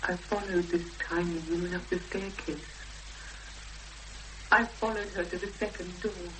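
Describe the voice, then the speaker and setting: A woman's voice: fairly low pitch, steady tone, a little slow, a bit bright. An actress playing a distressed, determined young woman, tense and earnest, in a 1970s radio drama. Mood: haunted narration. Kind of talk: 1970s radio drama acting and first-person narration. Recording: noisy old radio broadcast with hum.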